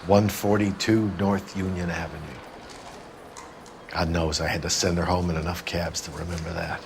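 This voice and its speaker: An actor playing a world-weary, gruff middle-aged 1940s club owner in a video game, blunt and wistful. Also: male voice, low voice, flat delivery, normal speed, noisy background. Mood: resigned.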